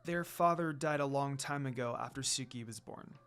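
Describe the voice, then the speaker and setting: A reader voicing a gentle demon noblewoman and mother in a fan fiction story, speaking in a measured, courteous way. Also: mid-range voice, clean tone, faint music behind, pitched below the performer's own voice, close to an everyday voice. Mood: somber.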